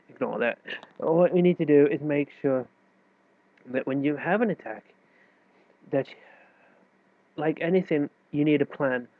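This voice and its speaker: A man's voice: mid-range voice, no echo. A man with a stuffy, nasal voice from a cold, explaining chess moves in a loose, self-correcting way. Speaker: chess teacher. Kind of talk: casual chess tutorial explanation. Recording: tinny, dry phone-like recording.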